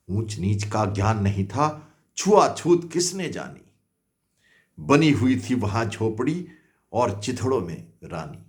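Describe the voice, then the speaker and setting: An older man giving a dramatic, expressive poetry recitation, repeating lines for emphasis. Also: fairly low pitch. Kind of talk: dramatic Hindi poem recitation.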